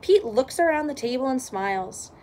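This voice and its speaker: A woman reading a children's storybook aloud in expressive narration. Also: sits high, normal speed, slight background noise. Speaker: storybook reader.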